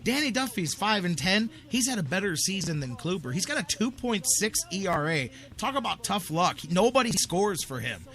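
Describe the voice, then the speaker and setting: A man's voice: high-pitched, big pitch swings, moderate pace, hardly any pauses, pushed and bright. An American man hosting casual sports talk radio, emphatic and slangy, repeating phrases to drive his points home.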